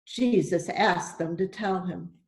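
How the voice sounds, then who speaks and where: Slow-paced woman reading a children's story. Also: fairly low pitch, a little mellow. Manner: deliberate, simple phrasing with questions to young listeners. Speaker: church storyteller. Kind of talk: children's Bible story read aloud. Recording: echoey room.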